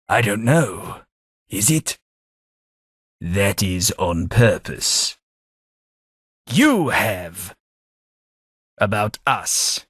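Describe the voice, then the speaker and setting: An actor playing a melancholy, formal old British former taxman in a video game, husky and measured in his wordy speech. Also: on the low side, a bit bright, no echo, clean studio sound, full character voice. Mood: wistful, resigned. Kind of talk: video game character dialogue.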